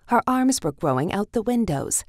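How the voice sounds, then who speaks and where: A woman's voice: mid-range voice, big pitch swings, normal speed, darkish tone, no room sound, clean studio sound. A woman narrating a children's read-along storybook in a scripted, story-reading style.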